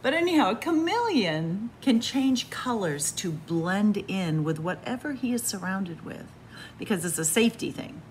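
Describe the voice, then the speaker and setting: Expressive, lively-pitched woman reading to children. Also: moderate pace. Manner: expressive, simple explanations for children. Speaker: library story time reader. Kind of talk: read-aloud for young children, chatty asides. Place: small library room with bookshelves. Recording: light room background noise.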